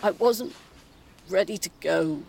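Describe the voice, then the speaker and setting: A woman's voice: lowish voice, slow pace, creaky, background noise. A woman playing a sardonic, formidable ghost of a mother in her seventies in an audio play, dry and genteel. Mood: pleading. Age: 70s.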